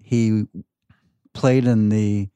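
An older man chatting casually about sports as a podcast guest, halting and repeating his words. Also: lowish voice, little pitch movement, dark tone, clean tone, no echo.

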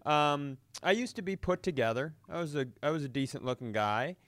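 A man's voice: a little high, animated, brisk, fairly bright tone, no room sound. A man co-hosting a comedy podcast, joking casually in a crude, self-deprecating way. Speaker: podcast co-host.

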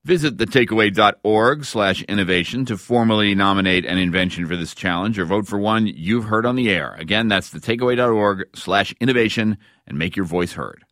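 A man's voice: on the low side, fairly quick, few pauses, fairly bright tone, dry recording. An American man hosting a radio show with scripted narration in a studio. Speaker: radio host.

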